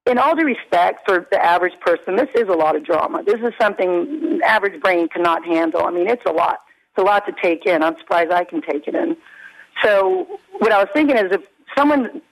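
Raspy lady on the phone to a radio show, loud and emphatic, sounds like she's almost yelling. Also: lowish voice, low-quality recording. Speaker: guest caller. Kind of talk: phone-in interview. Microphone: phone call.

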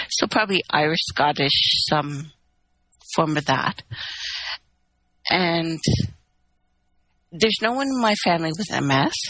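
Brief: Canadian-born woman, bright tone, candid and wry, dry sound. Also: deep voice, no room sound, rough recording. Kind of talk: casual phone-in chat.

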